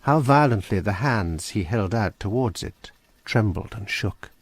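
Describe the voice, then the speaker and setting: A man narrating a radio drama in a measured storytelling manner. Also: on the low side, lively intonation, slightly rough, dry recording. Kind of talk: scripted narration.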